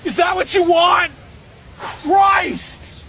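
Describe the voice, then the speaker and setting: A man's voice: very high-pitched, fairly even intonation, very slow, very noisy, heavily acted. A man voicing a foul-mouthed, aggrieved battle creature in a cartoon parody, whiny and strained as he rants. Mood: angry, pained. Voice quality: strained, shouty. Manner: whiny, sweary ranting. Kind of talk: comedy cartoon parody acting.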